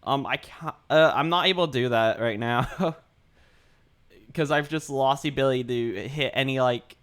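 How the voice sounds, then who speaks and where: Young guy streaming, throat kinda sore, rambly chatty talk, smooth clear voice. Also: mid-range voice, unhurried, a bit bright. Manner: rambling, chatty, greets chat, repeats himself. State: sore dry throat, voice a bit deeper. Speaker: art livestreamer. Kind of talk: casual livestream chat.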